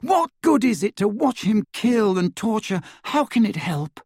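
A bossy, earnest teenage girl performed by a male narrator in a storybook reading. The pitch is lifted high and breathy, with an urgent, exasperated scolding tone. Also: sing-song, a little slow, a little mellow, no room sound, studio quality, full character voice.

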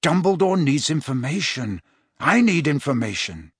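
Guy reading as a quiet, determined teen wizard boy, steady, a bit husky. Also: fairly high pitch, normal speed, no room sound, studio quality, pitched above the performer's own voice. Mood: pressing.

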